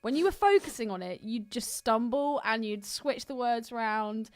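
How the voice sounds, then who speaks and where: Woman, highish voice, animated intonation, casual film chat, blunt and sweary, teasing, dry recording. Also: normal speed, no echo. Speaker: podcast co-host. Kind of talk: casual film commentary banter.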